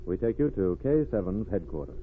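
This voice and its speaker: A man's voice: low voice, big pitch swings, fairly quick. A man narrating as a radio announcer in an emphatic, formal, dramatic 1940s style. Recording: tinny vintage radio with music. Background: music.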